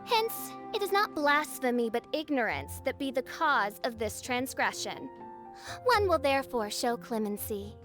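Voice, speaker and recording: Pompous, high-voiced dark princess. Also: female voice, very animated, a little slow, over music. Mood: condescending. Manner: pompous, theatrical, archaic speech. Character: grandiose teenage girl, self-styled princess of darkness. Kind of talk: video game cutscene acting.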